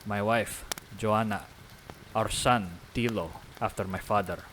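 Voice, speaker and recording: A man's voice: on the low side, steady tone, measured pace, fairly bright tone, slight background noise, low-quality recording. Man acting proud islander father, guarded, formal and terse, audio drama, faint rain behind.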